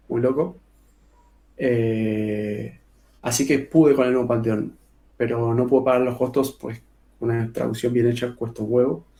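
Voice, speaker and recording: A man's voice: mid-range voice, gravelly, warm tone. A man with a Rioplatense Spanish accent, an author talking casually in an interview, colloquial, with many filler sounds and restarts. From Argentina. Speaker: author guest.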